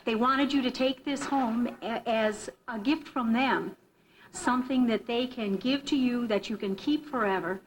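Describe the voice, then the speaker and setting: A woman supporter of a school program making warm, unscripted presentation remarks in a school auditorium. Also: fairly high pitch, unhurried, a little hiss or hum, poor audio.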